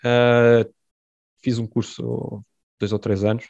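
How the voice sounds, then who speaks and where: A middle-aged man with a European Portuguese accent, answering interview questions in a measured, hesitant way with many pauses. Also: mid-range voice, level tone, creaky, a little mellow. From born in France, raised in Portugal. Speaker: guest. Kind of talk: conversational interview. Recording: dull dry lo-fi sound.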